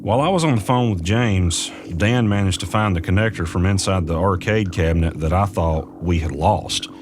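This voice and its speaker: An actor playing an uneasy man who recounts eerie events in measured, suspenseful first-person audio drama narration. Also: deep voice, background noise, natural voice. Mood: tense recounting. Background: music.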